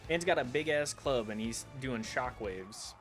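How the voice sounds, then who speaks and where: A man streaming a video game, chatting casually in a rambling, self-deprecating way with animated asides. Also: mid-range voice, moderate pace. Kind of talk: casual chat while commenting on gameplay. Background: game music.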